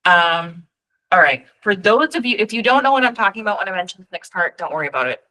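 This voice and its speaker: Woman staff presenter on a video call, bright forceful tone, casual and chatty, lots of ums while walking through her screen. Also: medium pitch, expressive, quick pace, rough recording. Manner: chatty and informal, frequent ums, friendly asides. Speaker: data team presenter at a state EMS registry meeting. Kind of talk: virtual meeting presentation with screen share.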